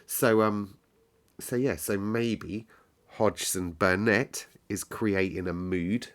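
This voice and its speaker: Low-voiced man, storytelling host. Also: slow pace. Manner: musing, conversational, thinking out loud. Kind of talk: story read-aloud and casual chat with viewers.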